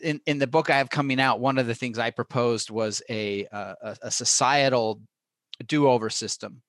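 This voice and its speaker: A man's voice: medium pitch, moderate pace, a bit bright, no echo. Informal, rambling man in a dry recording. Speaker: podcast host interviewing an author. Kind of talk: casual chat, opinionated tangents.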